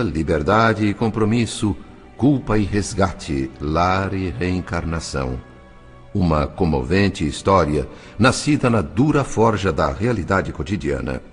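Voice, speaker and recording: A man with a Brazilian accent playing an earnest, gentle spirit narrator, telling the story in a solemn, measured audiobook style with drawn-out phrase endings. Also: sits low. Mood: grave. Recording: studio narration with music underneath. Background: music.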